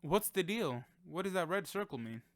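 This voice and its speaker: A man playing an excitable, naive young rookie in an audio drama, easily startled and full of questions. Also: high voice, normal speed. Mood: uneasy questioning.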